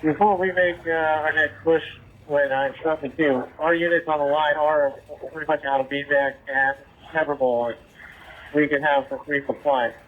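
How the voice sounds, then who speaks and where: A man's voice: fairly high pitch, steady tone, normal speed. A man, a police field supervisor, giving businesslike instructions over a police radio. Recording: tinny, noisy two-way radio.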